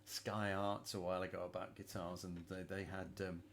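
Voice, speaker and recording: A man's voice: sits low, level tone, normal speed, slight background noise. A man hosting a casual music chat, hesitant and repeating words, laughing often. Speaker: host.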